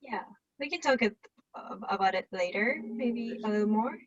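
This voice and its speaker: A woman from Japan with a Japanese accent, answering interview questions on a video call and often pausing to search for words. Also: fairly high pitch, lively intonation, muffled recording. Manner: halting, searching for words with fillers. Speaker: guest, Zen eating workshop host.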